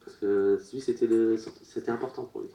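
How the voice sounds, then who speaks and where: A man working as a medium at a public séance, relaying messages in short, broken, halting phrases. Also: lowish voice, fairly even intonation, gravelly, warm tone. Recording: roomy echo.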